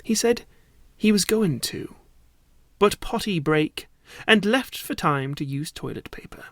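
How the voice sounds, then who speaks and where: A man's voice: sits high, lively intonation, measured pace, fairly bright tone, no room sound. Guy reading a story super dramatic, takes his time, really dry recording.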